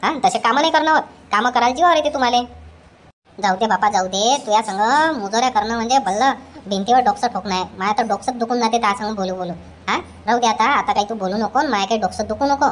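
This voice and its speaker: A woman's voice: a little high, slight background noise, lightly acted. A woman voicing a nagging old mother-in-law. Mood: scolding. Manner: rapid scolding rant. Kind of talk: cartoon comedy voice acting.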